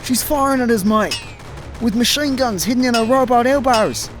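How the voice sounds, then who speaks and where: A fretful Scottish slacker played by a man in a sci-fi radio comedy, with clear music behind him. His voice sits high, and he comes across as hapless and literal-minded. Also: normal speed. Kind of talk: comic sci-fi radio play acting.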